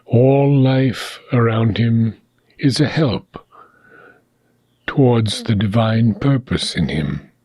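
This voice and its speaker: A man reading a book of spiritual philosophy aloud in a solemn, deliberate manner, pausing often between phrases. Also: mid-range voice, a little mellow, breathy edge. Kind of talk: scripted audiobook reading.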